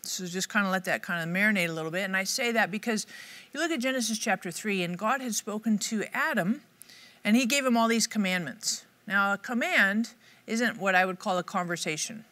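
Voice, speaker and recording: A middle-aged woman speaking to camera with a bright, forward voice. Her delivery is upbeat and conversational. She teaches like a devotional host. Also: mid-range voice, a little fast. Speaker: Bible teacher. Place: dark studio set, indoors.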